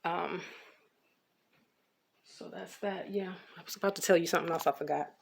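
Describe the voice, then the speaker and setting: A woman's voice: lowish voice, steady tone, moderate pace, vocal fry, slight background noise. An American woman giving a casual, chatty hair care update, talking informally and rambling with some repetition. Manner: informal, rambling, repeats herself. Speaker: natural hair care vlogger.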